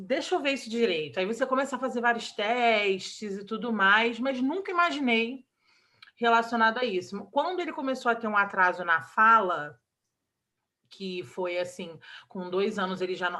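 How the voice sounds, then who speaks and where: A woman in her thirties with a Brazilian Portuguese accent, an interview guest talking about her child, emotional and halting, with many restarts. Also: mid-range voice, bright, forceful tone. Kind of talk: candid personal interview.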